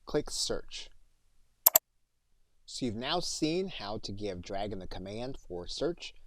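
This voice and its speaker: A man narrating a software tutorial as an instructor, explaining steps and giving spoken commands. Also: lowish voice, sing-song, takes it slowly, a little hiss or hum, poor audio.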